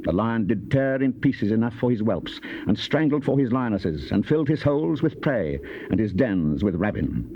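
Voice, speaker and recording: A man's voice: lowish voice, sing-song, normal speed, few pauses, breathy edge, heavily acted. A man acting a grave, wrathful Old Testament prophet in a solemn, declamatory scripture dramatization. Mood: grave, foreboding. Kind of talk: dramatized King James scripture reading. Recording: dull, noisy cassette tape.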